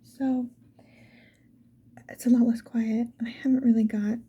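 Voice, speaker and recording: A woman doing casual ASMR, whispering softly and musing to the viewer in an unhurried get-ready-with-me chat. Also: fairly high pitch, flat delivery, breathy edge. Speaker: ASMR video creator. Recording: muffled lo-fi with background hiss.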